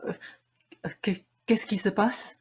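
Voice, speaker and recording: A woman's voice: slow pace, slight background noise, natural voice. A woman playing an eccentric, pleading lady who insists she is a prince's love, in a student radio play. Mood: bewildered, pleading.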